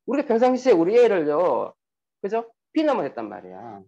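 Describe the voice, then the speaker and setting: A man lectures an audience in a lively, swooping voice, often tossing out rhetorical questions. His voice is husky and sits fairly high, and the recording sounds dull and lo-fi. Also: fairly quick. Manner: lively, rhetorical questions, acts out quoted dialogue. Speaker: speaker giving a talk on sales and emotions.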